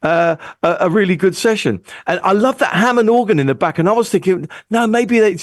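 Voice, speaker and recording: A man judging songs on a panel in a casual, enthusiastic but halting critique. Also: high voice, expressive, no echo, studio quality. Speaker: music judge on a review panel.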